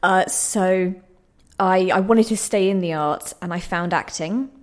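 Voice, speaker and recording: Young woman, lowish voice, chatty. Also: measured pace, a little mellow. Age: young adult. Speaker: interview guest, playwright and actor. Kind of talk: casual, chatty personal storytelling. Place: radio station.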